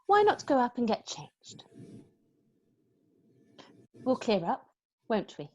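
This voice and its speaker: A woman playing a brisk, unflappable, firm yet playful nanny, crisp and commanding, in a musical play read-through. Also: fairly high pitch, wildly swooping pitch, exaggerated voice. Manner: crisp, commanding, matter-of-fact.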